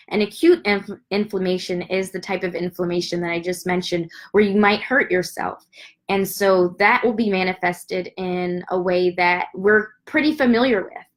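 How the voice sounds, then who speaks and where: Congested woman explaining health topics. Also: medium pitch. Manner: chatty, addresses viewers directly with fillers. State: congested, stuffy nose. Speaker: doctor giving a health talk. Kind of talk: casual educational talk to viewers.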